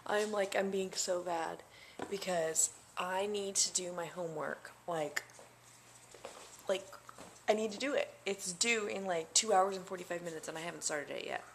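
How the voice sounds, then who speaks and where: A woman's voice: on the low side, moderate pace, vocal fry, a little hiss or hum. A young adult woman chatting casually to camera in a diary-style vlog, rambling with lots of fillers. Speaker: vlogger.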